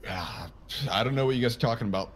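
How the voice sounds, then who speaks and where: A man's voice: mid-range voice, fast talker, slightly rough, lightly acted. A man playing an evasive, unruffled young Southern farm boy in comic game roleplay, stalling and acting innocent.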